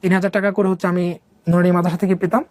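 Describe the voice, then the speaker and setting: A man's voice: high-pitched, little pitch movement, very fast, a little mellow, no room sound. A young man from Bangladesh telling his own life story in a casual, rambling way, with many fillers and English words mixed in.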